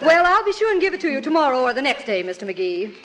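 A woman's voice: high-pitched, big pitch swings, quick pace, a bit bright. An actress playing a chirpy, scatterbrained, polite mail woman in a 1940s radio comedy. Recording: noisy vintage radio recording.

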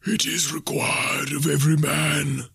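A man voicing a mournful chained ghost in a classic story reading, with slow, solemn, wailing declamation. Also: a little high, level tone, creaky, fairly bright tone, breathy edge, no echo, clean studio sound, voice pushed up, exaggerated voice. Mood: solemn, booming. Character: mournful male ghost in chains, tormented by remorse.

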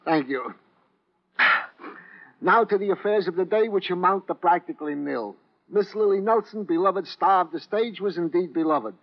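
An actor playing a fussy, self-pitying police sidekick with florid, mock-formal speech in an old radio drama. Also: male voice, high-pitched, moderate pace. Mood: pompous. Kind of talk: 1950s radio comic relief acting. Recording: dull old radio recording.

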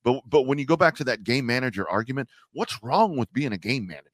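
A man's voice: medium pitch, lively intonation, fast talker, dry recording, clean studio sound. A man co-hosting a sports podcast, talking loosely, with many stammered restarts and frequent swearing. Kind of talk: casual sports talk.

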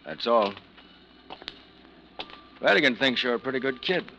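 Bright-voiced, needling police detective. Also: male voice, mid-range voice, animated, measured pace, creaky, muffled recording, exaggerated voice. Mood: easygoing, wry. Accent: American. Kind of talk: old-time radio crime drama acting. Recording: faintly noisy old radio recording.

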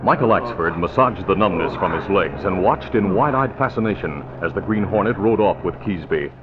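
A man's voice: low-pitched, expressive, normal speed, noisy background. A man giving scripted narration for a radio drama in 1940s style. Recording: muffled old radio recording.

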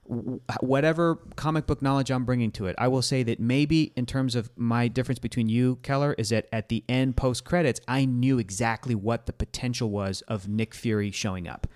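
Male podcast host, 30s, mid-pitched, animated, argumentative, keeps steering the chat. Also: brisk, hardly any pauses. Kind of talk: casual chat.

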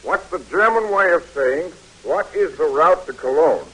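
Husky, firm army instructor. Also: male voice, a little high, animated, moderate pace, fairly bright tone, poor audio. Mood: businesslike. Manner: plain-spoken, admonishing. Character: firm, fatherly American army language instructor. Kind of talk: old-time American radio drama acting. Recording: old radio recording.

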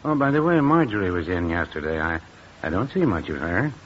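Folksy deadpan american druggist, older, swoopy low voice, muffled hissy radio show. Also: male voice, a little fast. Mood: gently skeptical. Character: mild, droll small-town druggist, henpecked older man. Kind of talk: old-time radio comedy acting. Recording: muffled old radio recording, background hiss.

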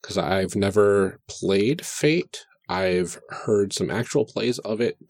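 Lower voiced guy, kinda unsure, ums a lot, dry close mic. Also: animated, slow, darkish tone, no room sound. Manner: hesitant, many fillers, thinking aloud. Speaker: player. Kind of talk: casual tabletop chat.

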